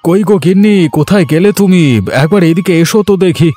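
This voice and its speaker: Higher-pitched gentle village farmer dad. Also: male voice, steady tone, quick pace, soft background music. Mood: gentle, homely. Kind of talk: Bengali children's cartoon voice acting.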